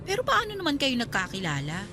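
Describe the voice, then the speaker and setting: A guarded young woman in a radio drama, wary of romance and a little bashful. Her voice is bright and fairly high, conversational, over a soft music bed. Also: huge pitch swings, fast, full character voice. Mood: bashful, polite. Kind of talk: Tagalog radio drama acting.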